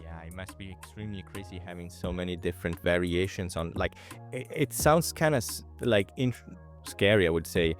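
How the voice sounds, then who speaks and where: A man's voice: sits low, brisk, soft background music. A man co-hosting an interview, asking loose, rambling questions full of fillers. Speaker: co-host. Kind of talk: casual interview chat.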